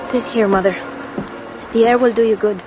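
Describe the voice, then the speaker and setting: A woman's voice: fairly high pitch, fairly even intonation, normal speed, music in the background, lightly acted. A woman playing a curious, outspoken young traveller in an audio drama, eagerly questioning and challenging. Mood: caring.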